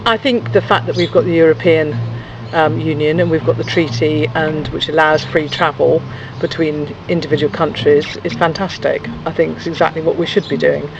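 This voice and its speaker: A man's voice: a little high, fairly even intonation, normal speed, vocal fry, highs cut off, background noise. A man from England giving earnest, opinionated answers, qualifying his points with many ums. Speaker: interviewee.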